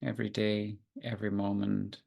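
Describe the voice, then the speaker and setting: A man guiding a meditation, giving gentle, unhurried instructions with long pauses. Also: lowish voice, flat delivery, creaky, husky, lo-fi sound. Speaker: meditation guide. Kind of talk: guided meditation.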